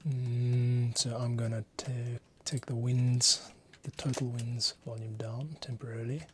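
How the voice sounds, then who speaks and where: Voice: medium pitch, flat delivery, measured pace. A composer thinking aloud casually, muttering a self-directed running commentary while working.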